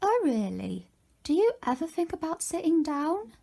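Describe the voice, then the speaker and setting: A woman plays a rich, flirtatious widow in an audio drama. Her voice is high and animated with a creaky edge, coy and forward. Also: normal speed, heavily acted. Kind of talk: fan audio drama acting.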